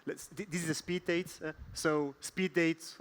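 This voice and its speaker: A man leads a panel discussion as moderator. His voice is a touch high, and he hesitates and restarts often while putting his questions to the guests. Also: fairly even intonation, a little slow. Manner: hesitant, many ums and restarts.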